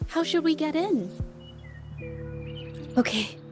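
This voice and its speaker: A determined female investigator in a video game. She has a high voice and a musing, inquisitive manner, with clear music underneath. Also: expressive, slow pace. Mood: focused. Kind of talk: video game dialogue acting.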